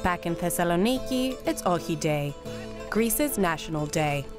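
A woman delivers measured news narration in a lowish voice. Music plays clearly underneath her. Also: animated. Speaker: news reporter.

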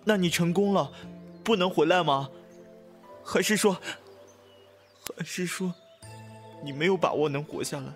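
A male actor playing a feisty, touchy but soft-hearted young man in a Chinese costume audio drama. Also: high-pitched, measured pace, pauses often, soft background music. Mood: pleading.

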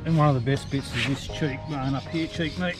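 A man's voice: medium pitch, a little fast. A man casually explaining how he prepares and cooks fish outdoors, filmed on an action camera. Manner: laid-back, explains as he cooks. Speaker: fishing vlogger. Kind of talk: casual fishing and cooking commentary. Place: outdoors, on a boat and at a barbecue. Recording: music playing under the voice.

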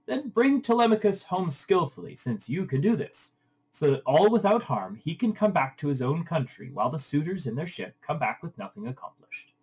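A commanding father of the gods as a man reads him aloud speaks in a storybook epic. He is stately and declamatory, with a slightly husky voice. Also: a little high, big pitch swings, moderate pace, darkish tone, exaggerated voice, rough recording. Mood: reproving. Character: commanding male king of the gods.

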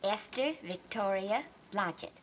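A woman's voice: mid-range voice, measured pace, lightly acted. A woman playing a shy, earnest young aspiring actress in an old film, speaking hesitantly. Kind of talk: 1930s film acting. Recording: faintly hissy old film soundtrack.

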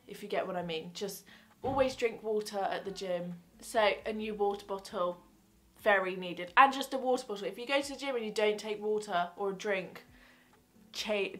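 A bubbly young woman in her twenties talks straight to camera in a small bedroom. Her voice sits at an ordinary middle pitch. She chats loosely, rambles and restarts her sentences. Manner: bubbly, rambling, self-correcting. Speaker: fitness vlogger. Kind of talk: casual chatty gift-guide vlog. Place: small bedroom with fairy-light backdrop.

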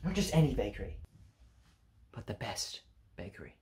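A man's voice: lowish voice, big pitch swings, moderate pace, darkish tone, reverberant. A young man acting a self-important, melodramatic guy in an amateur comedy sketch, with a pompous, theatrical delivery. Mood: grandiose. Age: young adult. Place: house porch and living room. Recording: noisy amateur camera sound.

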